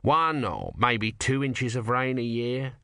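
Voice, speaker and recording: A man's voice: mid-range voice, animated, takes it slowly, a bit bright, no room sound, clean studio sound. A man voicing a bossy, cynical small creature who is sardonic, evasive and pragmatic in an audiobook story. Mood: bossy, dry.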